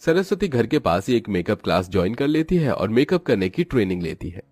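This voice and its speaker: A man's voice: fairly low pitch, very animated, a little fast, darkish tone, no room sound. A man narrating a scripted moral story as its storyteller.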